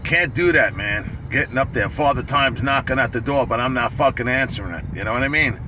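A middle-aged man with a raspy voice, chatting casually and profanely on a livestream from a parked car, phone held at arm's length. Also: mid-range voice, brisk, noisy background. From New York. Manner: loose, profane, chatty, laughing often. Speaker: livestream host.

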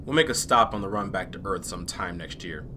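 An actor playing a businesslike space commander in an audio drama, formal and detached. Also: male voice, low voice, brisk, pushed and bright, a little hiss or hum. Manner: formal, official, matter-of-fact. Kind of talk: sci-fi audio drama acting.

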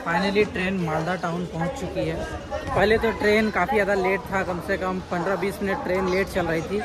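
A chatty male travel vlogger in his thirties talks into a phone held at arm's length, explaining his train trip as he goes and slipping English words into his talk. A loud crowd and the rumble of trains sit right behind his voice. The sound is raw phone audio with heavy background noise. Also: fairly even intonation, a little fast. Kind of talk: casual travel vlog narration. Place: busy railway platforms and inside a train.

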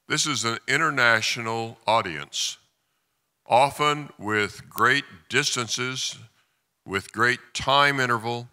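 A man from the United States putting a formal question at a conference in a deliberate, measured, oratorical manner. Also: on the low side, pushed and bright, clean tone. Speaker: audience questioner, trauma doctor. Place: large conference hall. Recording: echoing conference hall PA.

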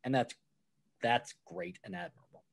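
Man explaining restaurant management calmly. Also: a little high, moderate pace, gravelly. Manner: explains with worked examples, acts out quoted replies. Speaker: author and restaurant guest. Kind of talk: conversational podcast interview.

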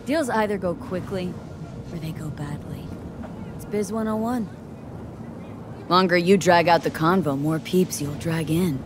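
Woman playing low-voiced cocky female mercenary. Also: unhurried, pauses often, noisy background, lightly acted. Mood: cool, sardonic. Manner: sardonic, street-slang, unflappable. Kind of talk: video game voice acting. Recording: music behind.

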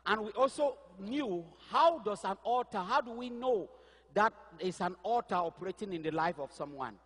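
High-voiced preacher on a church stage. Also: male voice, in a large hall. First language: not English. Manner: animated, gesturing preacher who searches aloud for words. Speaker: pastor. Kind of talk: sermon. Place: stage of a large church hall. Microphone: headset mic.

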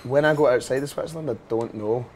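A Scottish man in his thirties chatting casually in a café, relaxed and quick to laugh. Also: medium pitch, crowd noise. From Scotland. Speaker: host singer-songwriter. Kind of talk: casual chat. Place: bookshop café, indoors.

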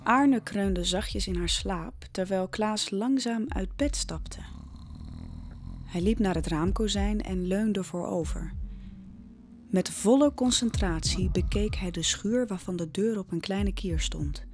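Slow-paced woman narrating a dark story. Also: medium pitch, a little hiss or hum. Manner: measured, suspenseful storytelling. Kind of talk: scripted dramatic story narration. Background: faint music.

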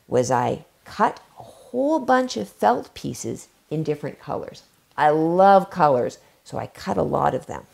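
Low, lively, gravelly-voiced woman reading to kids. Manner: warm storytime delivery, drawn-out emphasis. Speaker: children's book author. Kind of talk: reading aloud and craft demo for kids. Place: home library, seated in armchair before bookshelves.

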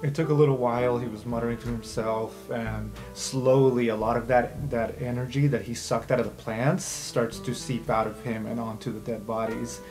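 A man's voice: medium pitch, steady tone, moderate pace, hardly any pauses, over music. A man acting as game master, narrating an improvised fantasy tabletop game.

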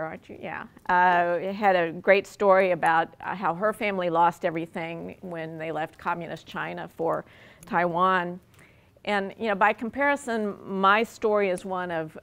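An older woman with an American accent speaks in a slightly low voice with plenty of creak. She is giving an informal personal lecture. Her manner is wry and self-deprecating. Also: fairly bright tone. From Dallas, Texas. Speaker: university speaker. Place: lecture room with audience, indoors.

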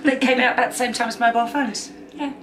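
A woman's voice: on the high side, fairly even intonation, fast, echoey room, heavy background noise. A middle-aged woman with a London accent, chatting bluntly and dryly as a guest on her daughter's vlog in a small bedroom. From London, England. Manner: blunt, dry and joking. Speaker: YouTuber's mother, guest. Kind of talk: casual chat.

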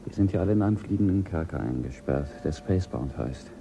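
A man's voice: rumbling low, expressive, fast talker, gravelly, music in the background, full character voice. An actor playing Jell, the stern male pilot of a spaceship, in a German radio play, talking in a firm, commanding and threatening way.

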